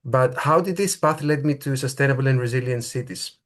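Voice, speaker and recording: A middle-aged man from Greece, giving an academic talk in a thoughtful, halting way with frequent pauses and restarts. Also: mid-range voice. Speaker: lecturer.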